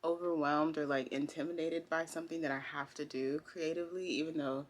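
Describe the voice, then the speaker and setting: A woman's voice: low-pitched, fairly even intonation, brisk, slight background noise. A woman talking candidly and informally in a casual personal vlog, recorded at home. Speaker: vlogger, costume designer. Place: indoors at home.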